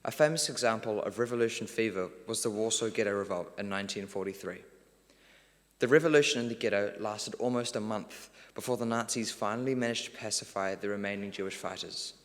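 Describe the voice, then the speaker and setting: A man's voice: on the low side, brisk. A teenage boy from New Zealand reading a prepared formal speech as a student prize winner. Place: large parliament hall, speaking to an event. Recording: long hall reverb.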